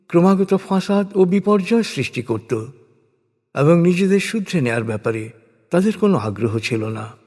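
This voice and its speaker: A man reading scripted religious narration in a solemn, measured, declamatory way, with deliberate pauses. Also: fairly high pitch, a little mellow. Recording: long reverberant hall echo.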